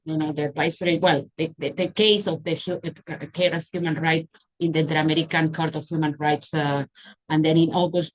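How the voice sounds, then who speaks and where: A woman's voice: low voice, rough recording. A woman moderating an online panel discussion, speaking with many fillers and restarts over a video call.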